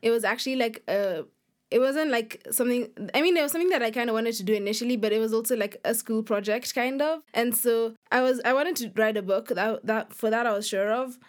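Young female author guest: slightly high pitch, casual, informal, youthful conversational delivery. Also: a little fast. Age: young adult. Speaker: author being interviewed. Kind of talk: casual conversational interview answers.

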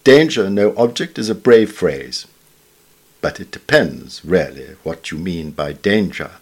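A British man reading a scripted literary essay in a formal, measured, wryly understated way. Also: deep voice, lively intonation, slightly rough. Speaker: reader of newspaper essays.

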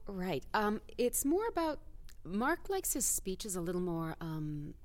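An actress playing a harried, businesslike political aide in an audio drama, clipped and hesitantly diplomatic. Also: female voice, mid-range voice, very animated, a little slow. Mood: tactful, flustered. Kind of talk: naturalistic audio drama acting.

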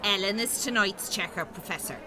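A woman's voice: medium pitch, brisk, close to an everyday voice. A woman playing a brassy, flirty, teasing bingo regular with a Dublin accent in an audio drama. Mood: flirty, cheeky. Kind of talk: radio drama acting. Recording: busy crowd behind.

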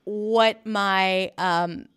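A woman whose first language is Hebrew speaks in a smooth, clear voice, with her pitch sitting in the middle of the usual range. She explains her teaching method earnestly, in a conversational interview, and restarts her phrases now and then. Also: fairly even intonation, extremely slow, fairly bright tone. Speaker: pronunciation teacher.

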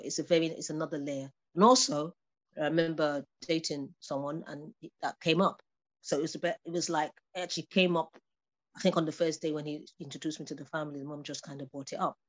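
A woman's voice: sits low, steady tone, a little fast. Thoughtful woman in her fifties. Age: 50s. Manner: thoughtful, laughs between points. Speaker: discussion participant. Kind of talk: casual conversation.

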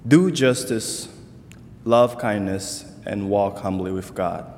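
Man from Congo, church talk, unhurried, reverberant sanctuary. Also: lowish voice, background noise. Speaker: church intern speaker. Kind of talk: slow church talk on scripture. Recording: long hall reverb.

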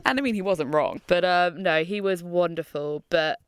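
A woman interviewing a guest in a gentle, casual way, laughing easily. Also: lowish voice, moderate pace, smooth, no echo, studio quality.

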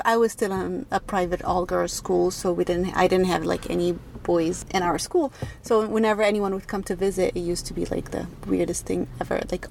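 A woman's voice: mid-range voice, gravelly, darkish tone, slight background noise, low-quality recording. A young adult woman from Egypt, chatting candidly as a podcast guest, laughing often and restarting her sentences. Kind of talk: casual interview chat.

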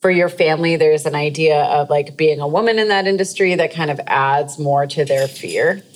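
A woman interviewing guests in a casual, conversational way, reacting warmly. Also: low-pitched, normal speed.

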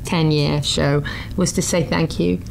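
A woman's voice: deep voice, fairly even intonation, a little slow, creaky, darkish tone, a little hiss or hum, low-quality recording. A woman hosting a casual studio chat, chatty and laughing often. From Britain. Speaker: host.

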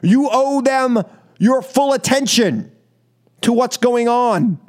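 A man's voice: very high voice, takes it slowly, darkish tone. A man with an American accent hosting political talk radio, speaking emphatically and scoldingly in short, repeated phrases. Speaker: radio talk show host. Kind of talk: political opinion monologue on call-in radio.

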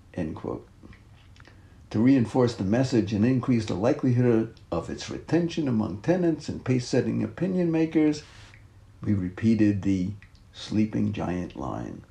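Older man, mellow voice, reading aloud. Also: fairly low pitch. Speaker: author and activist reading his own reflective essay. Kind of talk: scripted narration of a written memoir.

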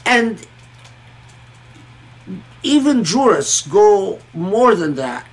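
A male religious scholar lectures informally on scripture in a notably high voice. He speaks slowly and thoughtfully, halting often and repeating words as he works through each point. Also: very animated, low-quality recording. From Egypt and Kuwait. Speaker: religious scholar giving Quranic commentary.

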